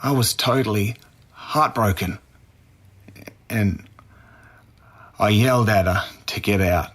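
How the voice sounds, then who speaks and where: A man's voice: fairly low pitch, takes it slowly, fairly bright tone. A man acting as a defensive Australian murder suspect in his twenties, speaking earnestly in blunt slang in an interview-style audio drama. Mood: earnest, pained. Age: 20s.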